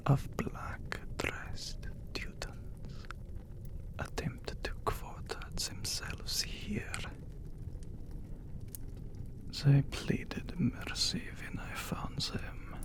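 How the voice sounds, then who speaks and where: A man playing an immortal, brooding vampire lord in an ASMR audio drama, with a breathy whisper and solemn, formal phrasing. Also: medium pitch, heavily acted. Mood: quietly menacing. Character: handsome immortal vampire count, brooding, menacing lord of castle.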